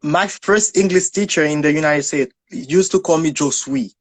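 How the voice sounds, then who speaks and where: A young man with a Haitian accent speaks in a fairly high voice, with very little room sound around it. He tells personal stories in a casual, conversational style. He often stumbles and repeats words while searching for the next phrase. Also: moderate pace, no echo. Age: young adult.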